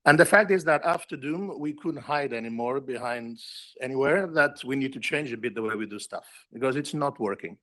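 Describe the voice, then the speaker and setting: A man's voice: medium pitch, a little fast, few pauses, pushed and bright. Man giving unscripted panel remarks. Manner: conversational, thinking aloud with restarts. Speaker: panel speaker from a food safety agency.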